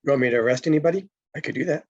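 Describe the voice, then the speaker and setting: A man's voice: a little high, rapid-fire, slightly rough, no echo, muffled recording. A man playing a friendly, eager, awkward police officer in a comedy table read, casual and slightly stumbling.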